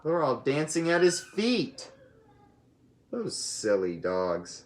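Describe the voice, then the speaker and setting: A person reading a children's picture book aloud in an animated way, with expressive asides to young listeners. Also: mid-range voice, slow. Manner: expressive, asks listeners questions and comments in asides. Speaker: storybook reader.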